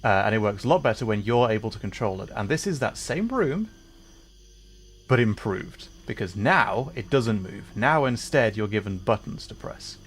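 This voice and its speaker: A man's voice: fairly low pitch, animated, normal speed, slight background noise. Enthusiastic man narrating gameplay. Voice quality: enthusiastic, rambling explanations. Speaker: game streamer. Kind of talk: live gameplay commentary.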